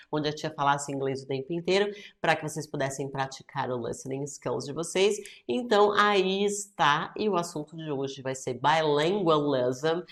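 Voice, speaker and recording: A woman in her forties with a Brazilian Portuguese accent, hosting her own podcast in a chatty way, switching between Portuguese and English. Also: low voice. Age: 40s. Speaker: podcast host and English teacher. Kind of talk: casual solo podcast talk.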